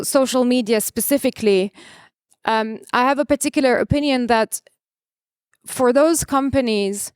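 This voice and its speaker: Woman panelist, slightly high, crisp, impassioned delivery, dry sound. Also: no room sound. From Palestine. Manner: impassioned, argumentative, with frequent restarts. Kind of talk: conference panel answer. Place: conference stage.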